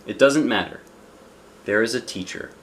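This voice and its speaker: A man's voice: medium pitch, normal speed, slight background noise, natural voice. A man voicing an encouraging woman schoolteacher from a picture book, speaking emphatically.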